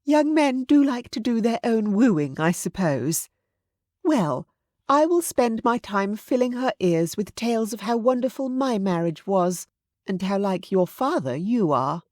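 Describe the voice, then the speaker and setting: A woman's voice: mid-range voice, big pitch swings, a little slow, a little mellow, dry recording, clean studio sound, pitched above the performer's own voice. A woman voicing an older aristocratic widowed mother, fond, chatty and assured, in an audiobook story.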